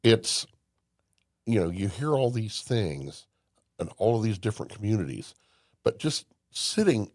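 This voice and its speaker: Low, gravelly older man from Indiana. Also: sing-song, measured pace, long pauses, darkish tone, no room sound, clean studio sound. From Indiana, USA. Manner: folksy storyteller, emphatic, with restarts. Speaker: podcast guest. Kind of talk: casual conversation.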